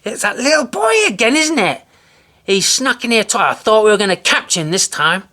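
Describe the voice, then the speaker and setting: A man voicing the giant's wife, a fretful woman complaining in a high voice. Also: big pitch swings, moderate pace, sharp tone, heavily acted. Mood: annoyed.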